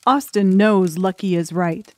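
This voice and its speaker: A woman's voice: medium pitch, a little slow, darkish tone, dry recording. A woman reading scripted story narration as an audiobook narrator.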